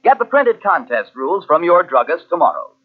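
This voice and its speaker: A man's voice: on the high side, big pitch swings, fairly quick, breathy edge. A man announcing on 1940s radio in a punchy, formal promotional style. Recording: muffled old radio recording.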